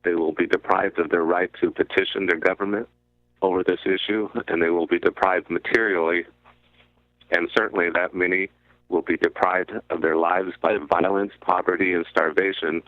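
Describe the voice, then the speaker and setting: A man speaking as a radio guest, explaining in deliberate, halting short phrases over a narrow call-in line. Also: low-pitched, little pitch movement, no room sound. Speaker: guest commentator on a radio show. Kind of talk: explanatory talk about finance. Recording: muffled narrow phone-like line.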